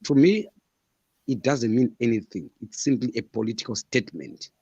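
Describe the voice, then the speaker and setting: A man's voice: mid-range voice, animated, normal speed, mellow, breathy edge, no echo, muffled recording. A man in his thirties from Zimbabwe, a guest author answering interview questions in an earnest, emphatic way and repeating his points. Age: 30s.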